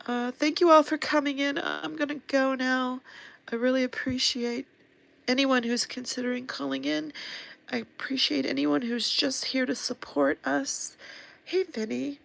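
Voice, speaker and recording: Sniffly, hoarse woman livestreaming. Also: high-pitched, steady tone, unhurried, fairly bright tone, slight background noise, poor audio. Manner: earnest, rambling, with many fillers and restarts. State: hoarse, losing her voice; sniffly, sad. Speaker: livestream host and activist. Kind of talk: casual livestream talk to viewers.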